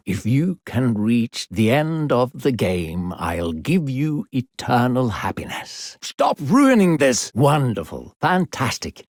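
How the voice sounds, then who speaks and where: A man voicing a falsely sweet, controlling demon narrator in a video game, theatrical and condescending, with a gravelly, husky voice. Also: medium pitch, darkish tone, no room sound, studio quality, full character voice. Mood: irritated. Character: smooth-talking sinister demon narrator coaxing a child.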